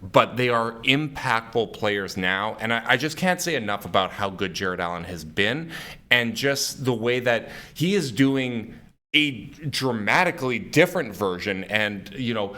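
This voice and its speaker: A man hosting a sports podcast, his voice a bit raspy and hoarse from cheering, breaking down a game with emphatic, casual analysis. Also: medium pitch, measured pace. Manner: energetic, emphatic basketball analysis. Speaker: podcast host.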